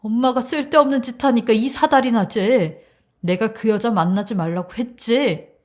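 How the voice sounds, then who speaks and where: A woman's voice: medium pitch, measured pace, a little mellow, close to an everyday voice. A woman voicing an exasperated adult son and bridegroom, pleading and scolding in a dramatized story. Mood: desperate scolding.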